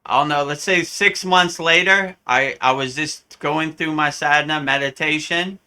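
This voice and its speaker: A man from the United States, a spiritual teacher telling a story in a live Q&A, animated and often acting out the voices he quotes. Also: fairly high pitch, normal speed, smooth. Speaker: spiritual teacher answering questions.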